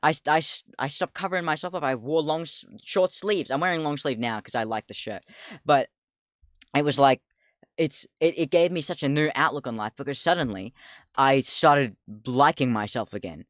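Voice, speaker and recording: Deep-voiced guy in his 20s rambling on stream, laughs a lot, swears, keeps losing his train of thought, dry close sound. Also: normal speed, a bit bright, no room sound. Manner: rambling, easily distracted, laughs often, swears. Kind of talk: casual livestream chat.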